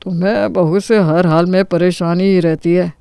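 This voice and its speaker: A man's voice: sits high, steady tone, normal speed, gravelly, dark tone, clean tone, dry recording, clean studio sound. A man voicing a firm, fair-minded father-in-law in a Hindi cartoon story. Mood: firm reproof.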